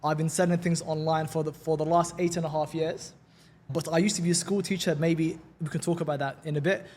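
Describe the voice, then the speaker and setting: A man's voice: a little high, little pitch movement, fairly quick, a little mellow, a little hiss or hum. A man from London with a London accent, a guest in a casual podcast interview. He talks in an upbeat, chatty way and often repeats words as he starts a sentence. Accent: London English. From England.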